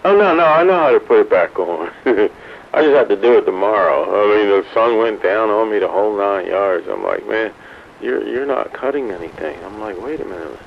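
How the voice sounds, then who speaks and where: A man's voice: on the low side, expressive, moderate pace, vocal fry. A man chatting casually over CB radio in folksy slang, through a muffled, noisy transmission. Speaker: radio operator.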